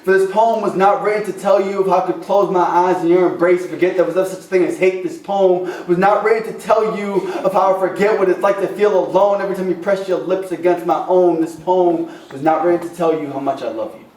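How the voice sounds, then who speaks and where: A man with a fairly high voice recites slam poetry with fervent, rhythmic phrasing. He leans on dramatic pauses and rhyme. The sound is echoey, picked up some way from the microphone in a live venue. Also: steady tone, a little mellow, distant mic. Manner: impassioned, dramatic pauses, rhythmic rhyming delivery. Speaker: slam poet. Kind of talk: performed slam poetry at an open mic. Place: open mic venue with a small responsive audience.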